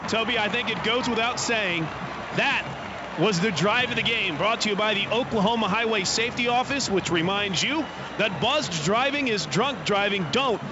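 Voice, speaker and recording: Male American sports broadcaster with a high voice, on a muffled radio feed with clear background noise. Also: normal speed. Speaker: radio booth sports commentator. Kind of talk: live sports broadcast commentary. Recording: dull noisy stadium radio broadcast.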